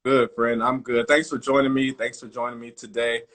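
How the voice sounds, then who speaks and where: A man's voice: medium pitch, flat delivery, moderate pace, fairly bright tone. Enthusiastic American man, podcast host, casual and effusive. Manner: enthusiastic, casual, many uh fillers. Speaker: podcast host, artistic director. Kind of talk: podcast interview.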